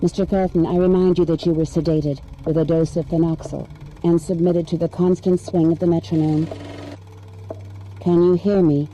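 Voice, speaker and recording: An actress playing a calm female hypnotist in a dramatic video game, giving measured, clinical commands to a patient. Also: on the low side, a little hiss or hum, natural voice, low-quality recording.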